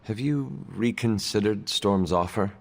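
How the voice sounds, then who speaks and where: Deep-voiced stoic game hero, reverberant. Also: male voice, gravelly. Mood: calm, probing. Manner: formal, measured, few contractions. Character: stoic male demon-tempted hero, reserved and supportive. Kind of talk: video game dialogue acting.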